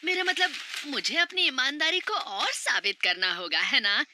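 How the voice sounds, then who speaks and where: A woman's voice: high-pitched, very animated, moderate pace, noisy background. A woman voicing a sly, cowardly male jackal in a cartoon, wheedling and fawning with nervous giggles. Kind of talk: cartoon voice acting.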